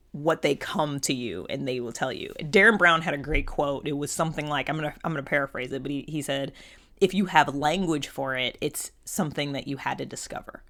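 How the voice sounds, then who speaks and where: A woman's voice: sits low, gravelly, sharp tone. A woman giving a casual, unscripted explainer as a host, conversational, often checking in with the listener and voicing imagined quotes. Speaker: host of a personality typology show.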